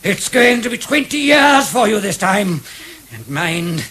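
An actor with an English accent playing a pompous, fussy, biased judge in a radio drama. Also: male voice, sits high, sing-song, unhurried, pushed and bright, slightly rough, soft background music, full character voice. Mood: stern, gleeful. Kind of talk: 1970s British radio drama acting.

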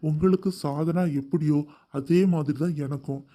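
A woman's voice: deep voice, little pitch movement, moderate pace, dark tone, pitched below the performer's own voice. A woman voicing a scheming young man who pleads in a falsely sweet, earnest way. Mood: pleading.

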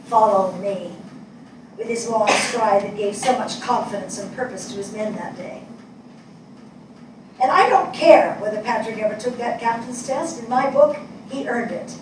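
An older woman playing a thoughtful, compassionate writer in a staged dramatic reading, measured and earnest. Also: on the high side, recorded from a distance, natural voice. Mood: proud tribute. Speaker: actor in a staged play reading. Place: small indoor theatre stage before an audience. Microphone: distant camera mic. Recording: echoey stage picked up from afar, noisy.

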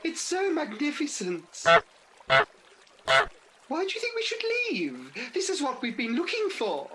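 A woman's voice: high-pitched, huge pitch swings, slow, background noise, exaggerated voice. A woman playing a nervous, grumbling older pantomime dame in a radio play, exclaiming melodramatically. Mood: awestruck.

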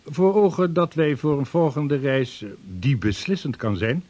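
An actor playing a courteous, earnest sixteenth-century expedition planner in radio drama, speaking formally and deliberately with a husky voice. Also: male voice, on the high side, animated, darkish tone. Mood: persuasive. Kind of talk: Dutch radio drama acting.

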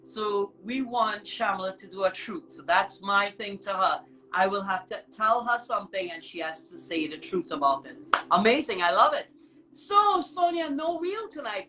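A woman's voice: on the high side, sharp tone, poor audio. A woman hosting a casual live online show, speaking in an effusive, encouraging way and often repeating herself. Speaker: show host. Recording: music in the background. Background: music.

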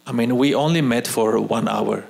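A man in his forties, a native Arabic speaker, speaking on an auditorium stage as a guest artist, pausing and restarting often. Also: medium pitch, vocal fry, a little mellow. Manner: hesitant, frequent restarts. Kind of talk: onstage conversation. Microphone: handheld mic.

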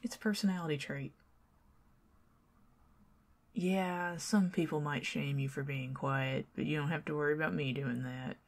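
A woman with a Southern American accent doing an ASMR roleplay as a chatty, teasing neighbor who talks to the listener and drifts into soft whispers. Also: deep voice, steady tone, normal speed, long pauses. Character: friendly talkative young woman neighbor.

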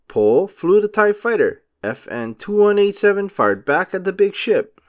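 A man reads a picture book aloud for young children as its narrator. His voice sits a little high for a man and swings widely in pitch. He goes slowly, setting out each short sentence with deliberate care. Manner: deliberate, clearly phrased reading for young children. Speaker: storybook narrator.

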